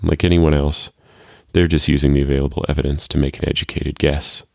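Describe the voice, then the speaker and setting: A man reading a first-person short story as a world-weary, wryly fatalistic parent who works as a security guard, in a deadpan, measured way. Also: very deep voice, gravelly, no echo, studio quality. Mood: wry, resigned.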